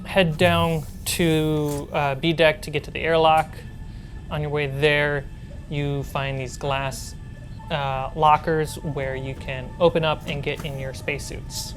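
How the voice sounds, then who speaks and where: A woman speaking as game master, narrating a tabletop role-playing game in a halting, thinking-aloud way. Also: sits low, flat delivery. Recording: background music under the voice.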